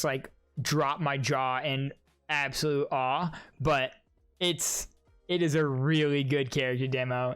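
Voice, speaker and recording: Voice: mid-range voice, fairly bright tone, clean tone. A video host giving casual, enthusiastic fan commentary while reacting to trailers. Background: music from the trailers.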